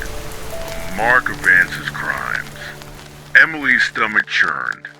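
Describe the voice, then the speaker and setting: A man reading scripted ghost stories for sleep in a measured, dramatic storytelling manner. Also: fairly low pitch, noisy background. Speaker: narrator of horror stories.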